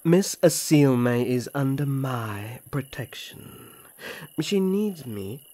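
A serious young elf prince from a fantasy roleplay, voiced by a man. He is slow and deliberate, with a husky voice. His manner is formal, guarded and protective. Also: mid-range voice, expressive, mellow. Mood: protective, firm. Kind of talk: fantasy audio roleplay acting.

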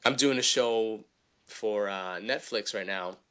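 A man talks through his voice-acting work in a relaxed interview with lots of energy. His voice sits somewhat low but has a bright, forward ring. He is a lively, animated storyteller. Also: slow pace. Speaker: voice actor guest. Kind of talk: casual interview chat.

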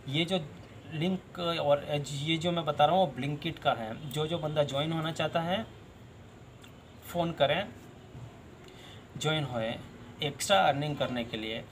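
Animated man pitching a delivery job. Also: a little hiss or hum. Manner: animated, gestures with both hands, repeats key points. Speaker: job channel host. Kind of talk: direct-to-camera job advice. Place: outdoors by a plain wall.

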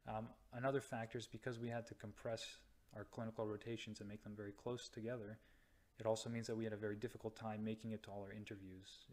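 A young man from North America, a radiology resident, explaining slides slowly with many pauses and restarts. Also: on the low side, darkish tone. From United States or Canada. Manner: slow, hesitant, many ums and restarts. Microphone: voice-over to slides.

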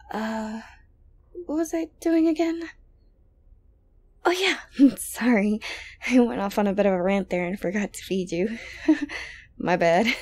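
Breathy, high-voiced doting yandere girlfriend, acted by a woman. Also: full character voice. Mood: cheerful, doting. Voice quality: soft, breathy, often dropping to a whisper. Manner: coaxing, cooing, falsely gentle, hesitant. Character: sweet-talking obsessive yandere girlfriend doting on her captive. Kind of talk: intimate ASMR audio roleplay.

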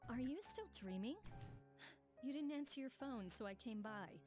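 A woman's voice: a little high, lively intonation, close to an everyday voice. Actress as a cool, stern young American woman. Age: young adult. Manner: cool, measured, firm. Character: stern, disappointed young woman confronting her boyfriend. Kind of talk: dramatic English video game voice acting. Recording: loud music behind the voice.

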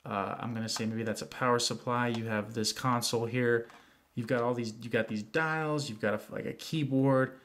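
A man's voice: mid-range voice, fairly even intonation, normal speed, a bit bright, a little hiss or hum. A man with a cold, casually reviewing a toy vehicle off camera, rambling with many fillers and restarts. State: congested from a cold.

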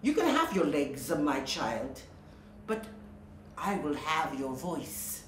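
A woman voicing an evil old female sea witch who croaks and rasps her slow, menacing lines. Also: deep voice, very animated, slight background noise, voice pushed down, heavily acted. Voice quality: raspy, croaking. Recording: roomy echo.

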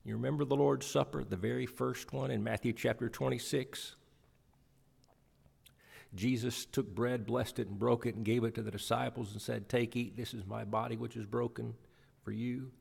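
A man's voice: medium pitch, fairly even intonation, moderate pace, gravelly, a little mellow, breathy edge. A middle-aged man preaching a sermon from scripture at a church pulpit, emphatic, repeating phrases for weight. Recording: reverberant church hall sound.

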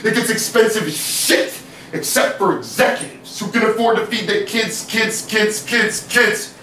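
A young man performing spoken word poetry in a living room, delivering rhythmic rapped verses between soft, rambling chat. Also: high-pitched, moderate pace. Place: living room of a house, small audience on couches. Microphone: far camera, no mic. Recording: echoey room from far off, faint noise.

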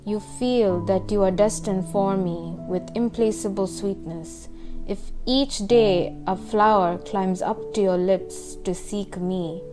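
A woman reading a love poem aloud in a measured recitation, line by line. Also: mid-range voice. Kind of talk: slow poetry reading. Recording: music behind the voice. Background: instrumental music.

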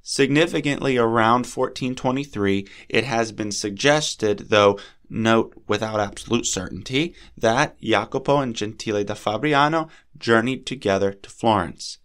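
A man hosting a podcast, narrating art history in a measured, lecturing way, his voice a little scratchy and sniffly from a cold. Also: fairly low pitch, fairly bright tone. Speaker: podcast host.

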